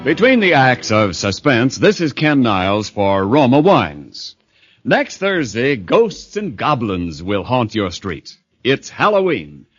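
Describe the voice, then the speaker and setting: Animated vintage male radio pitchman. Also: slow pace, husky, dry recording, soft background music. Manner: polished, emphatic salesmanship. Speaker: commercial announcer. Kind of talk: 1940s radio advertising pitch. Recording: dull, dry vintage radio recording.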